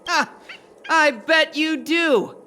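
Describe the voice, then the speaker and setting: Zealous high-voiced fan club girl. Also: drawn-out words, sharp tone, exaggerated voice. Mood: knowing, excited. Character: zealous young female fan club devotee. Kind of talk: video game voice acting.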